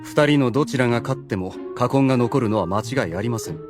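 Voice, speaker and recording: A man playing a cunning, courteous demon strategist in an anime audio drama, polite, measured and theatrical. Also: medium pitch, over music, close to an everyday voice. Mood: smug.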